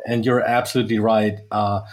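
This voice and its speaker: A middle-aged man from the United States, speaking as a sales executive guest in a podcast interview, measured and with many fillers and restarts. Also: fairly low pitch, level tone, a little hiss or hum.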